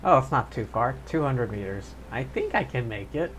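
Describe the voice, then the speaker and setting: A man's voice: medium pitch, big pitch swings, moderate pace, fairly bright tone, slight background noise, low-quality recording. A man streaming a video game with casual live commentary, talking to viewers as he plays.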